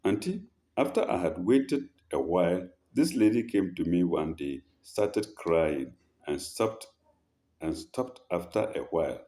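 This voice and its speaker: Male advice-show host: slow and halting as he reads letters aloud, low in pitch. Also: lively intonation, warm tone. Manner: halting, rereads phrases and corrects himself. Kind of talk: reading listener letters aloud with commentary.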